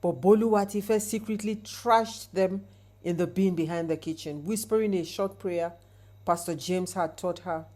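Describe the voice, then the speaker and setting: A woman's voice: fairly low pitch, moderate pace, mellow. A woman narrating a scripted folktale in a dramatic, suspenseful storytelling manner with rhetorical asides.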